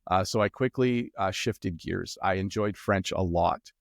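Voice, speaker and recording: A middle-aged man with a Canadian accent talks in a relaxed, meandering way, stopping to restart his sentences. His voice sits fairly low, and the recording is close and dry with no room sound. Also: measured pace, creaky, no room sound. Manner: relaxed, rambling with many ums and restarts. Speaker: guest. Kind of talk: casual interview chat.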